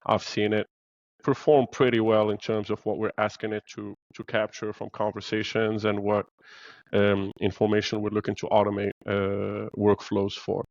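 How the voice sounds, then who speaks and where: A man from Morocco speaks as a podcast guest, explaining his view earnestly with many small fillers. His voice sits on the low side, and the recording sounds dull and lo-fi with almost no room sound. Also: completely flat delivery, moderate pace, vocal fry, darkish tone, no room sound. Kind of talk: podcast interview.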